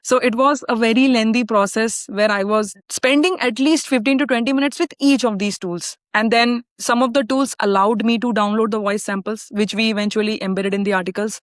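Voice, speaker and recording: A woman with an Indian accent who hosts a podcast and asks questions in a measured way. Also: a little high, fairly even intonation, no echo, clean studio sound. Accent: Indian English. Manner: measured questioning, thorough explanations with restarts. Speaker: podcast host. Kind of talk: podcast interview.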